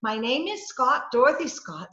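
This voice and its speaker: A woman's voice: sits high, very animated, normal speed, sharp tone, full character voice. A woman playing a scatterbrained, eager newspaper reporter in an old-time radio comedy-mystery, stammering and chattering in a flustered way. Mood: nervous, chattering. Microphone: video call. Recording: dull, roomy video call recording.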